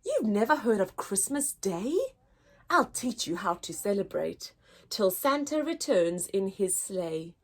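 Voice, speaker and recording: A woman voicing a small, excitable, cheerful male Christmas elf for a children's storybook, in an exclamatory, teaching manner. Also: mid-range voice, measured pace. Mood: shocked, eager.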